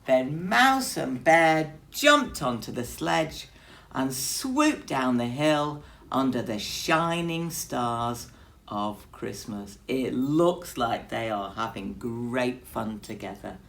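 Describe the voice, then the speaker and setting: A woman's voice: extremely low pitch, expressive, takes it slowly, gravelly, a bit bright. A woman teacher reading a story expressively to young children.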